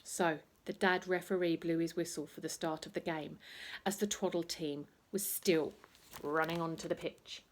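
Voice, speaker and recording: A woman reading a children's bedtime story aloud in an expressive, playful way, acting out noises as she goes. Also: lowish voice, moderate pace, slight background noise. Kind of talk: animated bedtime story reading with sound effects and asides.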